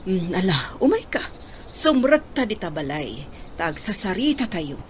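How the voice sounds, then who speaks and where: A woman's voice: fairly low pitch, very animated, a little slow, slight background noise. A woman playing a kindly, inquisitive lady of the house in a radio drama, concerned and generous. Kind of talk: Philippine radio drama acting.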